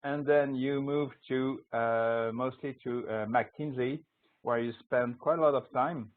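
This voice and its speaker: A man's voice: medium pitch, steady tone, a little slow. A man hosting a radio show, introducing his guest casually with many hesitations and fillers. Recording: tinny phone-line audio.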